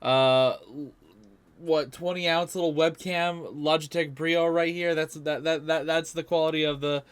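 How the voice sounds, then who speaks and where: A man's voice: fairly high pitch, fairly even intonation, unhurried, clear, resonant tone. A man hosting a reaction video with casual, rambling commentary and occasional casual swearing.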